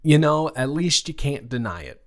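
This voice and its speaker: A man's voice: on the high side, big pitch swings, moderate pace. Flustered, stammering, sweet young college guy, acted by a man. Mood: chatty, eager. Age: young adult. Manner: rambling, stammering, nervously earnest. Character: flustered, sweet young male college dormmate falling in love. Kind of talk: romantic roleplay audio drama, naturalistic casual chat.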